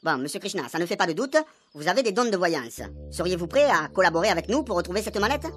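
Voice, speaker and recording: A man's voice: sits high, lively intonation, fast talker, a bit bright. A man playing a stern, easily exasperated police commander in a French radio comedy serial, speaking formally. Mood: businesslike.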